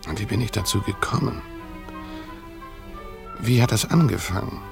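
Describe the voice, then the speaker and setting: A brooding former tutor narrating a radio play. His deep, gravelly voice is reflective and halting, over faint music. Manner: reflective, halting, self-questioning.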